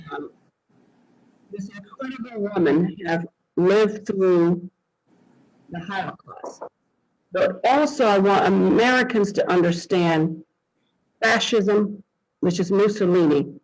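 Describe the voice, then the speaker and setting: A man with a high voice hosts an interview over a video call. He speaks slowly and emphatically, leaning into a preacherly cadence as he introduces his guest. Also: rough recording. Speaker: host.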